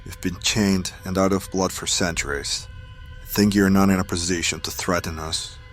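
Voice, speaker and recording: A man's voice: deep voice, fairly even intonation, moderate pace, a bit bright, faint music behind. A man playing a wary, hot-tempered hireling adventurer in an audio drama, challenging and guarded. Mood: defiant.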